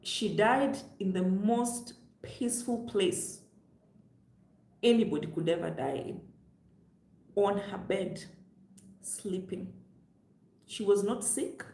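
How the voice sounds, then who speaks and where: A Kenyan-accented woman speaks straight to her audience in a forceful, preachy way, pressing her points home. Her mid-range voice carries a noticeable room echo, as if she is sitting in a bare room of her house. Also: medium pitch, slow, long pauses. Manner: emphatic, preachy, addresses viewers directly. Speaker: travel vlogger. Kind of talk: direct-to-camera advice vlog. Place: room on the upper floor of her home, seated.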